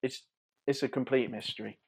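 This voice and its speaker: A man's voice: mid-range voice, fairly even intonation, fairly quick, gravelly, slightly rough. A man in his thirties chatting informally and a little hesitantly as a podcast host previewing sport. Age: 30s. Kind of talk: casual football preview chat.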